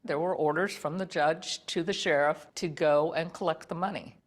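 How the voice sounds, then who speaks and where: A woman's voice: sits low, steady tone, moderate pace. A woman telling a historical account as an interviewee in a documentary.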